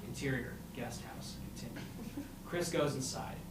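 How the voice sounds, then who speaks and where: A man reads out screenplay directions at a live table read. His delivery is halting and informal. The sound is echoey and picked up from a distance, with heavy noise behind him. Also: medium pitch. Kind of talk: live script read-through of a comedy screenplay. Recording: roomy, distant pickup with loud room noise.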